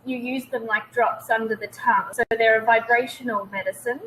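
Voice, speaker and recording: A woman in her thirties with an Australian accent, talking as a naturopath guest in a casual interview, pausing often with ums. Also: fairly high pitch, fairly even intonation, a bit bright, a little hiss or hum, rough recording. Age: 30s. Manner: hesitant, many ums.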